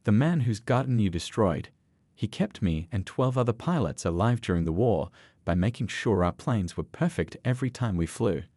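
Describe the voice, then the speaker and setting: A man's voice: lowish voice, expressive, normal speed, a little mellow, dry recording. A man voicing a commanding old veteran biker leader with a stern, authoritative manner in a narrated story. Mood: firm, commanding.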